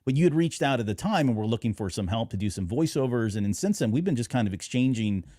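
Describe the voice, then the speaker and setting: A man's voice: mid-range voice, expressive, fast, dark tone, no room sound, studio quality. A middle-aged man hosting a podcast, chatting casually and informally, often doubling back on the phrasing. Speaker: podcast host.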